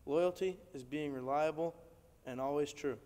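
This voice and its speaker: Brief: teen boy cadet from Nebraska, smooth resonant voice, earnest, echoey auditorium. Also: a little high, normal speed, darkish tone. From Nebraska, USA. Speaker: military academy cadet. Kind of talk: formal memorized speech. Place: dark auditorium stage. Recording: echoey hall, distant mic.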